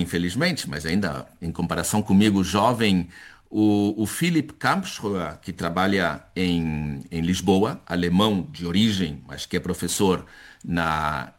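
A man, a professor talking at length in an interview. He speaks in a thoughtful, unhurried way, full of restarts and repeated words as he gathers his thoughts. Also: deep voice, lively intonation. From born in Chile to Brazilian parents. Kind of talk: conversational interview.

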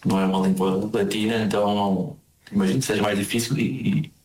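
A Portuguese man with a European Portuguese accent, a guest in a casual interview, telling stories quickly and animatedly with frequent restarts. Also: fairly low pitch, flat delivery, darkish tone, reverberant. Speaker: interview guest living in Argentina.

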